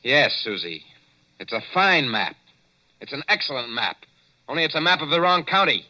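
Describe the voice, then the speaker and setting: An actor playing a brisk, impatient young newspaperman in 1940s radio drama style. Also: fairly high pitch, very animated, pushed and bright, dry recording, dull audio, heavily acted. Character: brash young American newspaperman.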